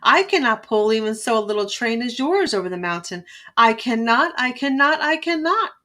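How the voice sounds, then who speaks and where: A woman's voice: on the high side, lively intonation, normal speed, bright, forceful tone. A woman voicing a tired, rusty old train engine in a storybook, sighing and weary.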